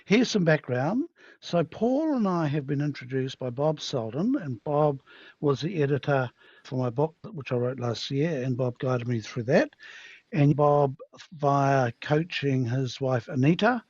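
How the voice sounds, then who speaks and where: A man's voice: medium pitch, expressive, a little slow, darkish tone, breathy edge, dry recording. A man hosting a podcast interview, chatty and self-deprecating. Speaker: podcast host. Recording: dull, dry, lo-fi sound.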